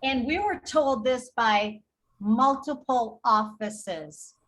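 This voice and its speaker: A woman guest on a podcast interview, telling an animated story in quick bursts of detail and acting out what others said. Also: a little high. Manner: animated, re-enacts dialogue while telling a story. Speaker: healthcare advocate guest.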